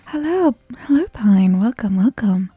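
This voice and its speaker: A woman livestreamer chatting casually in a soft, sleepy ASMR style that often slips toward whispering, close to the microphone. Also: mid-range voice, expressive, unhurried, husky, dry recording, lo-fi sound. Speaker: livestreamer greeting chat and hosting a game stream.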